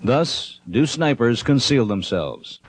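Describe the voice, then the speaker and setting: Guy doing documentary training film narration, average pitch, measured teaching style. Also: very animated, a little hiss or hum. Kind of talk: scripted instructional film narration.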